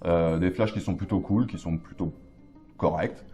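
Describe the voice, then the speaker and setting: A man's voice: sits low, normal speed, faint music behind. A man hosting a casual tattoo game show, joking and laughing often as he talks. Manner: jokey, laughs often, relaxed.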